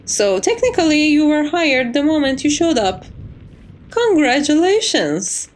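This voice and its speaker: A woman's voice: high voice, sing-song, unhurried, slight background noise. A woman acting a lilting, courteous, composed maid. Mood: wry, matter-of-fact. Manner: formal, polite, reassuring. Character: composed, courteous vampire's maid, wryly teasing. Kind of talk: ASMR roleplay acting.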